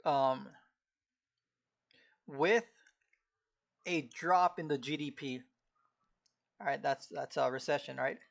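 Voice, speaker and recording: A man with a slightly high voice talks to himself at an easy pace as he studies live on stream. He keeps clearing his throat because it feels irritated, and his delivery stays laid-back as he recites notes from memory. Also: takes it slowly, long pauses, dull audio. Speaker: streamer studying a textbook. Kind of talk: casual live-stream self-talk while studying.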